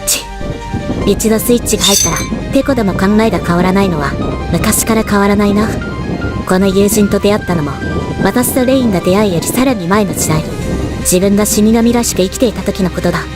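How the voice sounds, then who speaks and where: A woman voicing a stoic, battle-hardened female death god in an anime drama, with measured, composed dramatic delivery. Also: medium pitch, over music, close to an everyday voice. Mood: cool. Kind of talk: anime voice drama, scripted narration and dialogue.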